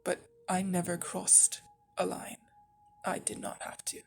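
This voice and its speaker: A woman voicing a tender, guilt-ridden vampire baroness in a soft, halting ASMR roleplay monologue. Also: on the low side, flat delivery, creaky. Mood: firm, earnest. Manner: halting, soft-spoken, confessional, slightly formal. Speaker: audio roleplay performer.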